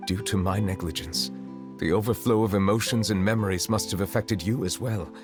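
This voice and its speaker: A man voicing a dignified, reserved chief justice in a video game, formal and composed, with measured wording. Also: fairly low pitch, natural voice. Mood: apologetic, composed. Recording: music behind the voice.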